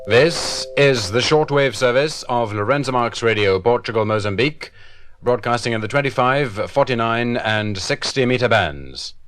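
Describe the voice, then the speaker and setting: A man's voice: mid-range voice, moderate pace, fairly bright tone, a little hiss or hum. A man reading scripted station identification on 1960s shortwave radio. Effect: shortwave radio broadcast.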